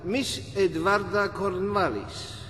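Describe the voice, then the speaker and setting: High-voiced, eerie castle servant. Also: male voice, fairly even intonation, pushed and bright, heavily acted. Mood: ceremonious. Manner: ceremonial, drawn-out announcing. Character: eerie, formal castle manservant announcing guests. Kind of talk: radio drama acting. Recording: long hall reverb, faint background noise.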